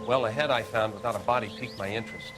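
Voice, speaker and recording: A man's voice: on the low side, fairly even intonation, very fast, over music, lightly acted, rough recording. A man voicing a matter-of-fact 1940s coroner who explains evidence in a clinical tone.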